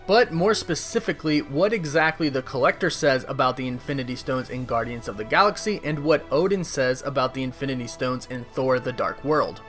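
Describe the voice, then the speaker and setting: A man's voice: mid-range voice, a little fast, few pauses, fairly bright tone. A man hosting a scripted explainer video, speaking emphatically and repeating key phrases. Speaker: video host presenting a fan theory. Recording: music behind the voice. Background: background music.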